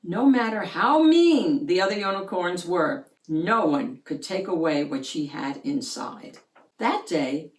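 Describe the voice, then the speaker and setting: A woman reading a children's picture book aloud as an expressive storyteller. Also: medium pitch, reverberant.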